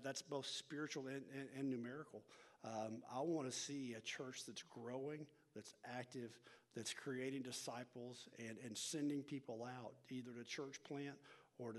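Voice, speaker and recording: A middle-aged man with a North Texas accent, a church education pastor leading a workshop in a folksy, self-deprecating way, full of restarts and fillers. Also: mid-range voice. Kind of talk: casual talk to a church group, opening with prayer.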